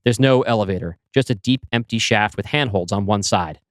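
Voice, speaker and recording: A man reading a science fiction script aloud as narrator, in an even, descriptive manner. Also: on the low side, a little fast, dry recording, studio quality. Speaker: storyteller.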